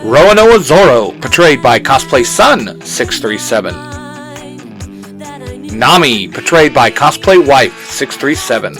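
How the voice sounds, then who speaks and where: A man hosting his own podcast, reading out credits and giving shout-outs. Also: medium pitch, big pitch swings, slow, soft background music. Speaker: podcast creator and host.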